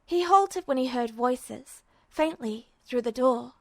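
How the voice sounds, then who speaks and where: Woman reading fan fiction, high, dry. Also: animated, takes it slowly, darkish tone, no room sound. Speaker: podfic reader. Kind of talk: scripted fiction narration.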